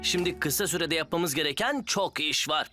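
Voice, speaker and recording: A man's voice: a little high, close to an everyday voice. A man playing a cheerful, capable builder who leads and encourages his team in a children's cartoon. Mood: cheerful, brisk. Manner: encouraging, briskly organising. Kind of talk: animated children's cartoon dub. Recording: loud music under the voice.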